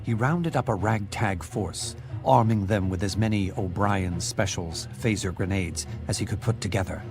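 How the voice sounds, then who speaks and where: A low-voiced man narrates an audiobook in a measured, dramatic way. Loud music plays behind him throughout. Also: creaky.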